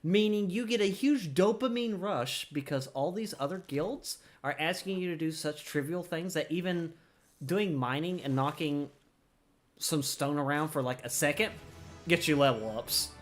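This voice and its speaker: A man's voice: on the high side, moderate pace. A man giving casual gameplay commentary, explaining things informally and thinking aloud as he plays. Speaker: gamer, streamer explaining gameplay.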